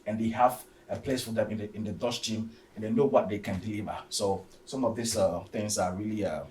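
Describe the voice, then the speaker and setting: A man with a fairly deep voice talks sport without a script. He stammers and doubles back on words, hesitating often. The sound is roomy and slightly distant, with faint noise. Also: steady tone, a little mellow. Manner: halting, stammering, repeating words. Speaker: football discussion panellist. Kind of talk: unscripted sports discussion.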